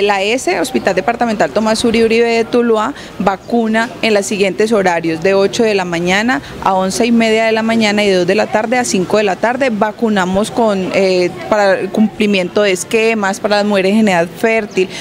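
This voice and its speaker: A young woman, a hospital coordinator giving an on-site news interview with a handheld mic in an outdoor courtyard, explaining with hesitant fillers. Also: medium pitch. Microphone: handheld station mic.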